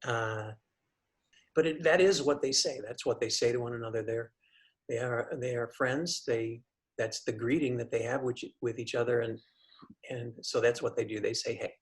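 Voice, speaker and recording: A man acting as a pompous professor and would-be playwright in a web comedy. He speaks in a mid-pitched voice with theatrical flourish. He halts and stammers, thin-skinned about his work. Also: steady tone, natural voice. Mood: defensive. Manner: halting, theatrical, stammering when challenged. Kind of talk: scripted comedy acting on a video call.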